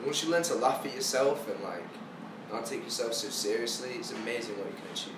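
A man's voice: mid-range voice, quick pace. A young man in his twenties chatting casually and easily, often ending lines with "man". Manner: laid-back, says "man" a lot. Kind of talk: casual chat. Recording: roomy, distant mic with background noise.

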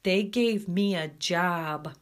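A woman's voice: fairly low pitch, takes it slowly. A woman voicing an earnest teenage boy, nervous and defensive, in a storybook reading. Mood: anxious protest.

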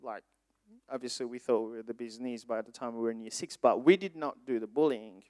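A man whose first languages are Swahili and French, preaching informally to young people with conversational, animated storytelling and questions to his listeners. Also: medium pitch, brisk, no echo. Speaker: preacher speaking to a youth group. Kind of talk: informal sermon with personal anecdotes.

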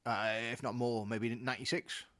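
A man's voice: medium pitch, little pitch movement, sharp tone, dry recording, clean studio sound. A man with a Welsh accent, co-hosting a casual film review podcast and bluntly sticking to his opinions. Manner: blunt, stubborn, quick. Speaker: podcast co-host.

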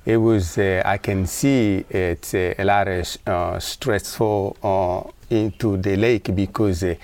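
A middle-aged man from the Congo, a guest talking in a casual interview. He pauses often and fills the gaps with long hesitations. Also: low voice, fairly even intonation, a little mellow. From Democratic Republic of Congo. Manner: halting, many filler pauses. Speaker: guest, environmentalist.

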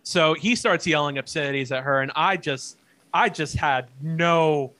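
A young man with a bright, crisp voice tells a story in a loose, casual chat. He swears freely, laughs often and keeps tripping over his words. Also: on the high side, measured pace. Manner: profane, loose storytelling with many fillers and restarts. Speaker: podcast co-host.

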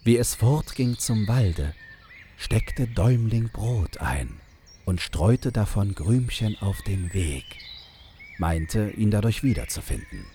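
Very deep, slow male storyteller. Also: expressive, dark tone, a little hiss or hum. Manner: measured storytelling. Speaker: narrator. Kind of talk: scripted fairy-tale narration.

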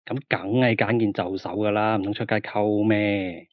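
A flippant, exasperated aging film star, voiced by a man, with a Hong Kong Cantonese accent. His voice sits low and sounds dry and close. The recording is dull and muffled. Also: fairly even intonation, normal speed, no echo, close to an everyday voice. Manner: exasperated, glib, bossy. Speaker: actor character on the phone. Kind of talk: Cantonese radio drama acting.

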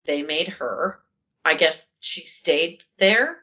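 Brief: woman podcast co-host, low, commanding, bright tone, chatty, slightly lo-fi. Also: expressive, slow pace. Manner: joking, chatty, says "like" often. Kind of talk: casual podcast chat, telling a history story.

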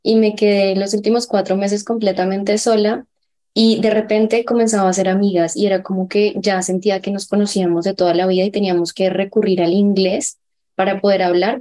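Female podcast host, friendly, easy laugh, warm and mellow tone. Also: mid-range voice. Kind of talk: conversational interview.

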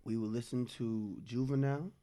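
A man in his mid-twenties with a middle-pitched voice co-hosts a loose roundtable chat. He pushes back with insistence and keeps asking to finish his point. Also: steady tone, fairly quick, warm tone. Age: 20s. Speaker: podcast co-host. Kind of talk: casual group chat.

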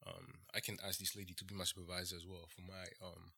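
A young man from France with a French accent, a guest telling his story in an interview and pausing often on "uh" and "um". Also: deep voice, level tone, gravelly, pushed and bright, breathy edge. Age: young adult. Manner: measured, pauses with frequent "uh" and "um". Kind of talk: casual interview talk.

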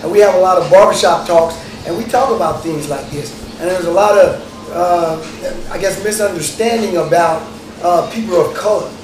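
A man's voice: sits high, moderate pace. A man co-hosting a podcast panel, speaking in an easygoing, friendly, slangy way. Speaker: podcast co-host. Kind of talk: casual panel discussion. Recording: echoey room, noisy, mic at a distance.

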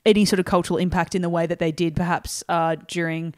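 A woman's voice: on the low side, flat delivery, fast talker, a little mellow. A young woman co-hosting a podcast, chatting casually in a sardonic, rambling way and swearing freely. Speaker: podcast co-host. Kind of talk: casual chat.

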